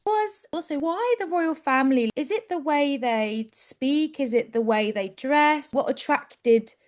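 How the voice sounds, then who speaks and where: A woman's voice: sits high, measured pace. A woman hosting an interview about learning English, asking questions and encouraging her guest, often saying "wow".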